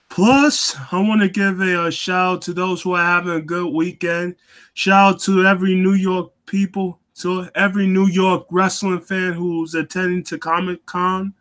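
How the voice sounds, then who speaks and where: A young man hosting a casual talk show on his own, getting over a cold and coughing now and then, halting with lots of ums and restarts. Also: a little high, fairly bright tone. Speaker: wrestling show host.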